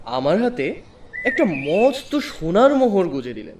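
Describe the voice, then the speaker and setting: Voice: medium pitch, big pitch swings, measured pace, far from the mic, background noise. A narrator telling a first-person story in a dramatic, scripted audiobook style. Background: background music.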